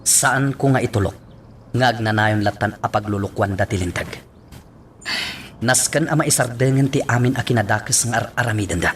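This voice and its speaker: A man's voice: lowish voice, normal speed, fairly bright tone, slight background noise, lightly acted. An actor playing a determined, principled man in a radio drama. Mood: resolute. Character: determined, principled man set on stopping wrongdoing.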